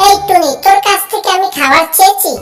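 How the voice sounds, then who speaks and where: Female actor for snappy, scornful talking bird in an animated fairy tale. High pitch, mocking questions, faint music bed. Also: fast talker. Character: bad-tempered, scornful talking parrot and crow.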